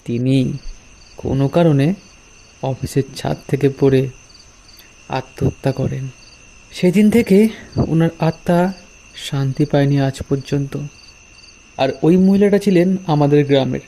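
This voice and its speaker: A man's voice: medium pitch, unhurried, creaky, pauses often, dark tone. A man playing an anxious, loyal village post office helper in a horror cartoon, speaking earnestly. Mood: grave.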